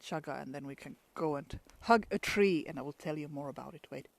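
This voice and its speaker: A woman's voice: sits low, brisk, gravelly. A woman from Finland with a Finnish accent, chatting casually outdoors in a pine forest for a travel vlog and laughing often between restarts. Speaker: travel vlogger.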